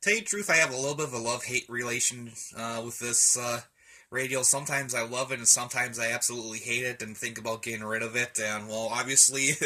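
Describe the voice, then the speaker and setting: A man's voice: mid-range voice, fast, sharp tone. A man chatting casually in a rambling, hesitant, folksy way as he shows off a hobby collection at home. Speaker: radio hobbyist vlogger. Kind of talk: casual rambling show-and-tell chat. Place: indoors at home, room with radio shelves.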